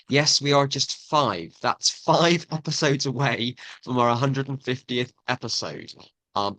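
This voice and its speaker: Male podcast host: chatty, upbeat, crisp forceful voice, dead dry room. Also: medium pitch, dry recording, low-quality recording. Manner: upbeat, chatty, with small restarts. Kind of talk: podcast introduction.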